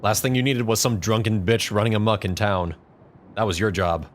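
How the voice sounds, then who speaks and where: A man's voice: lowish voice, fairly quick, slight background noise. A man narrating a scripted second-person story as the narrator of an audio drama.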